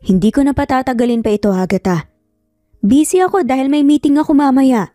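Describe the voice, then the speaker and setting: A woman's voice: sits high, fairly quick, mellow, no echo, clean studio sound, faint music behind, lightly acted. A woman voicing a cruel, rich older mother in a story, stern, sarcastic and commanding. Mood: strict, curt.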